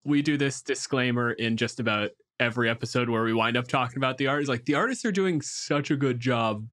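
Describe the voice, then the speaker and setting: A man's voice: medium pitch, brisk, a bit bright. A man co-hosting a podcast, giving commentary in casual conversation. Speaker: podcast co-host.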